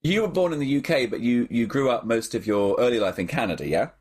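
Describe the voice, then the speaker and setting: A man's voice: mid-range voice, animated, quick pace, a little mellow, a little hiss or hum. A man hosting a casual interview, chatting in a wry, joking way. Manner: wry, chatty, jokes lightly. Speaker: podcast host.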